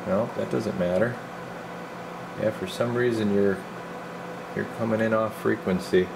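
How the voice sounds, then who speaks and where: A man's voice: fairly low pitch, fairly even intonation, a little slow, gravelly, noisy background. A man working as a radio repair technician, casually thinking aloud through a hands-on bench demonstration in informal, muttered steps. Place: indoor electronics repair workbench.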